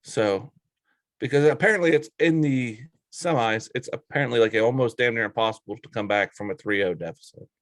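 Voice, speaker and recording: A man's voice: medium pitch, fairly quick, dry recording. A man co-hosting a sports talk show in a casual, bantering style.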